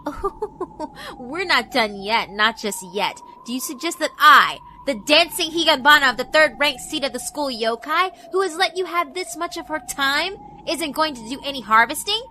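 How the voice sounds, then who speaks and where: A woman's voice: sits high, lively intonation, bright, forceful tone, a little hiss or hum, voice pushed up, heavily acted. A woman voicing a cruel, mocking female school spirit in a horror visual novel, haughty and theatrical. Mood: haughty, threatening. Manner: taunting, haughty, drawn-out theatrical delivery.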